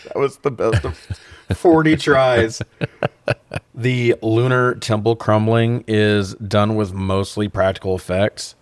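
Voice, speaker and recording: A man's voice: on the low side, fairly even intonation, takes it slowly, no room sound. A man co-hosting a casual comedic film review, joking and swearing freely, often laughing as he talks. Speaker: podcast co-host.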